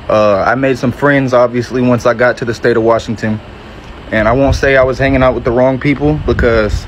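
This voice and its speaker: A man's voice: mid-range voice, slight background noise, rough recording. Young man telling his story. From Metro Atlanta, Georgia, USA. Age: early 20s. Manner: measured, reflective, frequent self-corrections. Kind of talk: personal testimony to camera.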